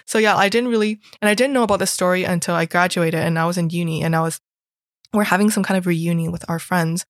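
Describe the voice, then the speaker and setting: Young woman from hong kong, lowish voice, rambly chatty storytelling, lots of "like". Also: fairly even intonation, fast talker, dry recording, clean studio sound. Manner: chatty storytelling, heavy use of "like". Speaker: guest recalling school experiences. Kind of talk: casual chat.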